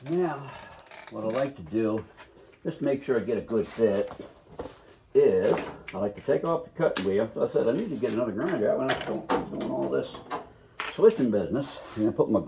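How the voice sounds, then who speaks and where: A man talking quietly and casually to camera while he works on a car, mumbling as he goes. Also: medium pitch, very animated, brisk, noisy background. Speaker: car restoration hobbyist. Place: home garage workshop.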